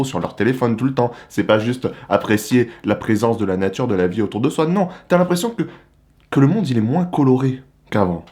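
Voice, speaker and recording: Animated young man, slangy monologue. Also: lowish voice. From Republic of the Congo. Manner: animated, slangy, rhetorical questions. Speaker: vlogger. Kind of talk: casual monologue to camera.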